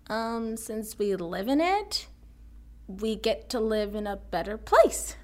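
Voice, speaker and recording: A woman playing an eccentric, self-assured schoolgirl in a writing class for a radio drama, earnest and deliberate. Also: medium pitch, sing-song, a little mellow. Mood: aggrieved.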